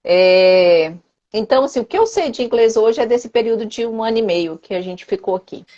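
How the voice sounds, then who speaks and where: A woman's voice: on the high side, animated, fairly quick, warm tone. Chatty Brazilian woman on a lo-fi call. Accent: Brazilian Portuguese. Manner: chatty, repeats and restarts phrases, many tag questions. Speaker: interview guest, former student. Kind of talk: casual conversational interview.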